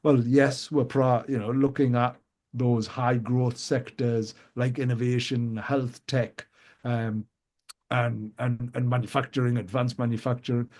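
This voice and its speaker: Chatty male radio host, mellow tone. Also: mid-range voice. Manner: animated, quick questions, many restarts. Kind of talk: casual business chat on live radio. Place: radio studio.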